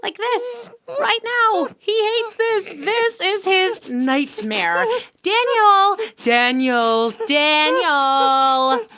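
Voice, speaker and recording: An emphatic, bossy tabletop gamer in a comedy audio drama. The voice is high and bright, drawing words out slowly for emphasis, natural in style. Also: female voice, lively intonation, heavily acted. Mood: exasperated, teasing. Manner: exasperated, emphatic, teasing.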